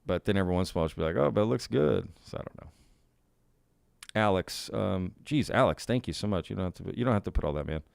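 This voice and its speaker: Laid-back host with a gravelly low voice. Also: male voice, expressive, quick pace, dry recording. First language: bilingual Spanish and English. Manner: laid-back, folksy, says y'all often. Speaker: live stream host. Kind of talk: casual live Q&A, reading chat comments aloud.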